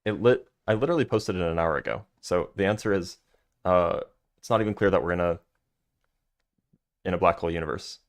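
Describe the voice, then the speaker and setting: A man with a deep voice hosting a livestream, reading a physics paper aloud and chatting loosely with viewers between passages, with plenty of fillers. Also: brisk, long pauses. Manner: loose and chatty, many fillers, answers chat.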